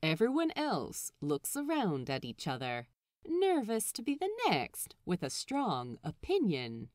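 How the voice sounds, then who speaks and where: Unhurried, expressive woman narrating a story. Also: on the low side, no room sound, clean studio sound.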